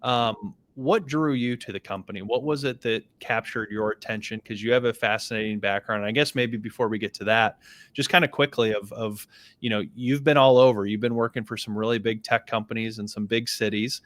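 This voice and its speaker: A man's voice: on the low side, fairly even intonation, fairly quick, fairly bright tone. A man hosting a podcast interview, asking casual, rambling questions full of "you know" and "um". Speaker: podcast host.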